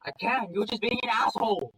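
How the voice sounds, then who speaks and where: A defiant young animal son from a story, acted out by a man. The voice is pushed high and loud, strained with hurt and anger. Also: fairly quick, slightly rough, low-quality recording. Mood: defiant fury. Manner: shouting, strained, emotional.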